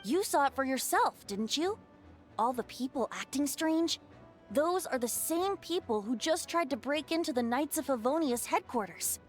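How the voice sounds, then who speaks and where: A woman's voice: sits high, animated, moderate pace. A woman voicing a playful, theatrical young male bard in a video game. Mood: explaining. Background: game music.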